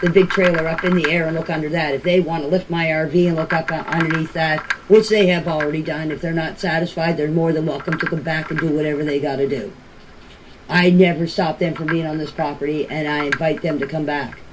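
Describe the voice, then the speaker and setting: A woman's voice: sits low, steady tone, a little fast. An older woman with a Canadian Maritime accent speaks informally, answering questions and often repeating and rephrasing herself. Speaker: family member speaking about a case. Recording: noisy amateur voice clips with clicks.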